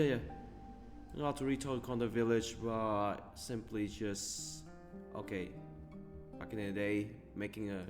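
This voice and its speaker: A man commenting on a game in a halting, rambling way, stopping and restarting often. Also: on the low side, in a large hall. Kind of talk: casual gameplay commentary.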